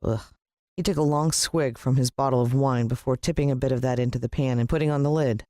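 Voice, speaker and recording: A woman with an American accent reading a storybook as scripted narration, expressive and suspenseful, with deliberate pauses. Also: rumbling low, dry recording, clean studio sound. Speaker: audiobook narrator.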